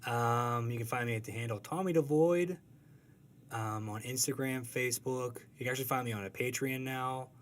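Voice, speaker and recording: A man's voice: mid-range voice, normal speed. A man from the United States chatting casually as a podcast guest, with informal, brief answers and hesitant starts. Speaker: podcast guest, comic strip artist. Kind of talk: casual interview chat.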